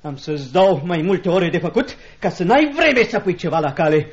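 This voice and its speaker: A brutal schoolmaster with a very high-pitched voice. He sneers, mocks and threatens in full radio-theatre style. The recording is dull and limited in band. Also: very animated. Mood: menacing. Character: cruel, bullying schoolmaster husband, mocking and brutal. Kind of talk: Romanian radio theatre acting.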